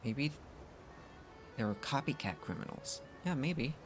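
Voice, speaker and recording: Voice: medium pitch, big pitch swings, unhurried. A game streamer giving casual live commentary, musing and thinking aloud. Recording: loud game music behind. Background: game music.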